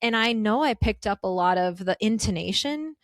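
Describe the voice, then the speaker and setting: A woman in her thirties from the United States, a guest chatting upbeat and quickly on a travel podcast. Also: mid-range voice, animated, no room sound. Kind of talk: casual interview conversation.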